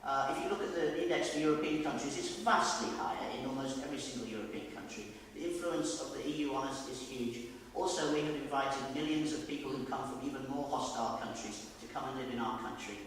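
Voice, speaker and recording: A man's voice: mid-range voice, quick pace, hardly any pauses, fairly bright tone, slight background noise. A man speaking as a parliamentary candidate at a public hustings, blunt and forthright. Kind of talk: debate answer at a public hustings. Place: large echoey hall. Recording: echoey hall, mic far off.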